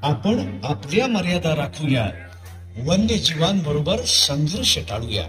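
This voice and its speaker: A man giving scripted, dramatic narration as a festival show announcer, in declamatory, measured phrases. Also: on the high side, big pitch swings. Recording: clear music behind the voice.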